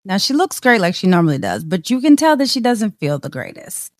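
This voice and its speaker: A woman's voice: mid-range voice, sing-song, a little fast, no room sound, clean studio sound. A woman co-hosting a casual podcast chat who asks lots of curious questions. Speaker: podcast co-host.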